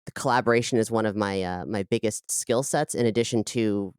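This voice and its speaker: A woman's voice: a bass voice, fairly quick, a little mellow, clear, resonant tone, dry recording, studio quality. A woman giving casual, reflective interview answers as a podcast guest, often qualifying what she says.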